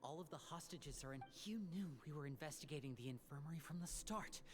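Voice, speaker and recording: A woman's voice: low voice, fast talker, a little hiss or hum, natural voice. A woman voicing a defiant, protective young male magician in a video game, intense and accusing in delivery. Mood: tense, reasoning.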